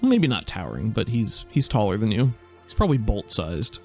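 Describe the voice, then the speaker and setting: A man's voice: fairly low pitch, wildly swooping pitch, moderate pace, faint music behind. A man narrating a tabletop game as game master and podcast host, in an informal, descriptive storytelling way.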